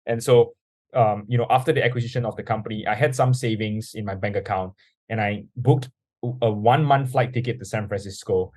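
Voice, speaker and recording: A man's voice: fairly low pitch, steady tone, a little fast, darkish tone, no echo. A young man from Singapore, telling his story as a podcast guest in an earnest way full of "you know" fillers. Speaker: guest, startup founder.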